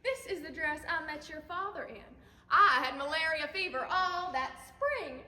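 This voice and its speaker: Actress as faded Southern belle mother: giddy, nostalgic, high and bright, stage monologue in echoing hall. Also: lively intonation, exaggerated voice. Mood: showy nostalgia. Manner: effusive, dramatic, drawn-out words. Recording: echoey hall, long reverb.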